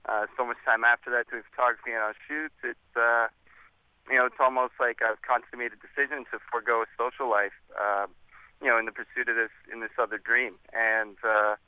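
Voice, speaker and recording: Young man, twenties, phone caller, tinny line, clear voice. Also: medium pitch, steady tone, dry recording. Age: 20s. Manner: earnest, halting, many fillers. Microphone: telephone.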